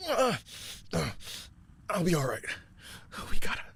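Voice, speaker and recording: Raspy, wounded, stubborn fighter. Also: mid-range voice, big pitch swings, full character voice. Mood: pained, stubborn. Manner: halting, stubborn. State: strained, weak from injury in performance. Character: badly wounded fighter, stubborn and determined to keep going. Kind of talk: horror audio drama acting.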